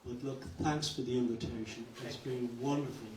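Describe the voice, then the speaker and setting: A man's voice: medium pitch, steady tone, moderate pace, crowd noise. An older man giving an informal, joking and effusive guest speech at a cultural evening. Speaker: guest speaker. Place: function hall. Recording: roomy hall from a distance, background noise.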